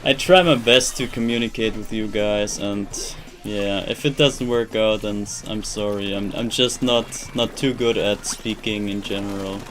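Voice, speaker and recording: A man's voice: lowish voice, measured pace, few pauses, a bit bright, a little hiss or hum. A young man whose first language is German, chatting casually on a live stream in English, rambling and searching for words with many restarts. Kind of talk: casual live-stream chat while gaming.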